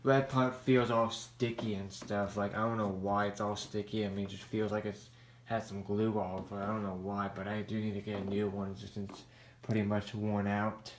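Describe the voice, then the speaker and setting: An American man giving a casual show-and-tell in a halting, rambling, plain-spoken way. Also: fairly low pitch, level tone, slight background noise. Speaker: hobbyist vlogger showing a radio collection.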